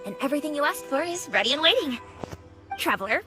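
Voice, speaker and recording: A hospitable restaurant owner from a video game, polite and chatty as she welcomes her guests. Her voice sits fairly high. Game music runs clearly in the background. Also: expressive, fast talker. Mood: friendly. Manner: polite, warm hostess, explains at length. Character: kindly female restaurant owner, adult, hospitable and gossipy.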